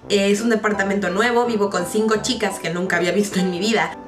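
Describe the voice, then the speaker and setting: A young woman with a Mexican accent, chatting to camera in a playful, expressive way as she gives advice. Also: medium pitch. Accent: Mexican Spanish. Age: young adult. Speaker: vlogger. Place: small bedroom, indoors. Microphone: camera mic at a short distance. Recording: roomy bedroom, distant camera mic, music.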